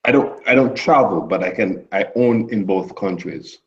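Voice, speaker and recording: A man's voice: fairly low pitch, moderate pace. A man from Jamaica with a Jamaican accent, chatting casually in a group and often restarting his sentences. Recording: dull lo-fi audio.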